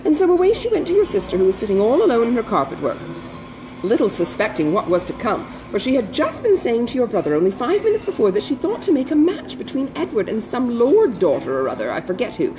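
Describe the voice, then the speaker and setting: Casting: female reader as excitable older society gossip, good-hearted, breathless, very wide swings in pitch, period novel audiobook. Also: quick pace, noisy background. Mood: astonished, gossiping. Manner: breathless, exclamatory, chatty gossip. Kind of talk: dramatised audiobook reading of a classic novel.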